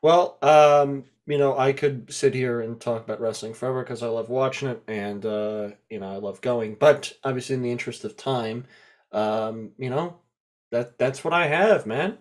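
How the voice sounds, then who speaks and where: A man with an everyday mid-pitched voice hosts a casual podcast. He asks long, rambling questions full of fillers and sounds eager to talk. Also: moderate pace. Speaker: podcast host.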